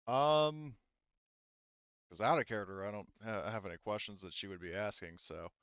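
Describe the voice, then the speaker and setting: A man working as game master, narrating a tabletop roleplaying game in a halting, informal way. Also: fairly low pitch, fairly bright tone, clean tone, no echo, lo-fi sound. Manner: halting, informal, talks around points.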